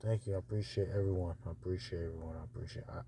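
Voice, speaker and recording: A man hosting a casual review of voice-over auditions, speaking in halting, repetitive asides as he goes. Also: sits low, fairly even intonation, creaky, a crowd in the background. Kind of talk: casual screen-recording commentary.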